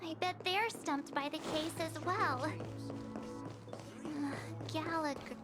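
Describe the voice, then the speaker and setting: A tiny, childlike game sidekick with an extremely high voice. The character is blunt, sassy and excitable over loud background music. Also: female voice, slow. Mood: eager. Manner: perky, blunt. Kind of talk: video game voice acting.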